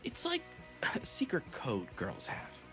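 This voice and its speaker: Guy reading as jaded lil brother kid, blunt, super swoopy pitch, music in back. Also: huge pitch swings, measured pace. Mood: coaching. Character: young boy, savvy, blunt, jaded little brother. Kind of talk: live comedic table read of a film script.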